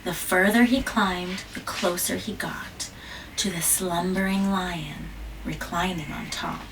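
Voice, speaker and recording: A woman reading a children's picture book aloud, gentle, with dramatic whispers and asides. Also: fairly low pitch, steady tone, slow pace. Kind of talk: read-aloud storytelling. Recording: distant mic with background hiss.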